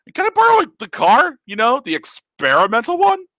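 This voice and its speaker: Guy doing a high cocky old immortal dude, teasing, kinda gravelly. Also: huge pitch swings, brisk, bright, forceful tone, no room sound, studio quality, exaggerated voice. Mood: scheming. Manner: breezy and teasing.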